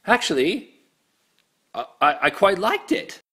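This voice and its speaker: An actor playing a nervous, floundering human prisoner, stammering and bluffing his way through flattery in a sci-fi comedy. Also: high voice, unhurried, husky, exaggerated voice. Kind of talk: sci-fi comedy audio drama.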